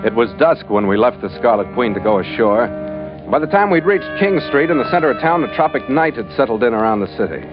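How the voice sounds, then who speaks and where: An actor playing a tough, laconic ship's skipper in an old-time radio adventure drama, terse and hard-boiled. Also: male voice, mid-range voice, very animated, fairly quick, natural voice. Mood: grim, matter-of-fact. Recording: dull, band-limited old radio recording with music.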